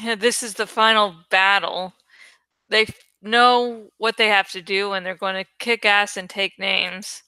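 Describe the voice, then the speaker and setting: A woman's voice: medium pitch, fairly even intonation, unhurried, sharp tone, clear, resonant tone, no room sound, poor audio. A woman hosting a reading channel, chatting casually to her viewers in a playful, joking way and swearing now and then. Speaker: host of a dramatic book-reading channel.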